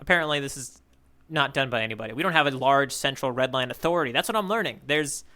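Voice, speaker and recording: A man's voice: mid-range voice, lively intonation, fast, sharp tone. A man co-hosting a podcast in casual chat, riffing on tangents and tossing in little sound effects. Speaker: podcast co-host.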